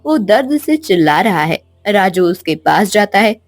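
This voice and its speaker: A woman reads a scripted children's story. Her pitch is mid-range and her pace unhurried, with background music running beneath the narration. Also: very animated.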